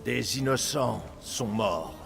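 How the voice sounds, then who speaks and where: A man acting a guarded, weary older Persian father and resistance fighter in a French-dubbed video game, speaking in a grave, measured way. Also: medium pitch, lively intonation, vocal fry, exaggerated voice. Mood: stern. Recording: game music behind.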